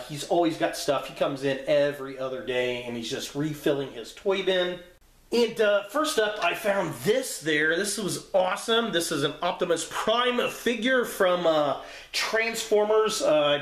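A man with a fairly high voice gives a casual, chatty show-and-tell. He is enthusiastic and doubles up words for emphasis. The sound is roomy and echoing, like a camera mic in a small room. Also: a bit bright. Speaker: toy collector and video reviewer. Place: small room indoors, tabletop display.

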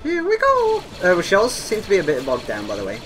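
Young guy gaming with mates, high bright voice, jumps around a lot, excitable. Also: fast talker, faint music behind. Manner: excitable, exclaiming. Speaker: gaming video player. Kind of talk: excitable co-op gameplay commentary.

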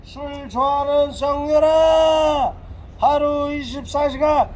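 Shrill, slow-shouting angry man. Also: fairly even intonation, low-quality recording. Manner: yelling insults, drawn-out angry cries. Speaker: street protester. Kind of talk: shouted protest rant.

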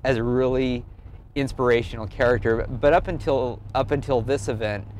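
A man talking casually in an earnest, halting way, thinking aloud as he tells a personal story in an interview. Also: medium pitch, steady tone, darkish tone, slight background noise. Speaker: amateur cyclist and blogger being interviewed.

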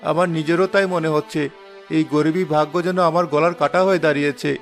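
A man voicing a poor, gentle, downcast village father in a cartoon, weary and plaintive. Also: a little high, fairly even intonation, fast, close to an everyday voice. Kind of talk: cartoon dialogue dub. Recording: music under the voice.

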